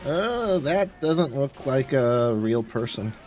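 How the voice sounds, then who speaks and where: A man's voice: mid-range voice, animated, slow, darkish tone. A man streaming a video game, giving casual live commentary with mild, exclamatory grumbling. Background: video game sounds.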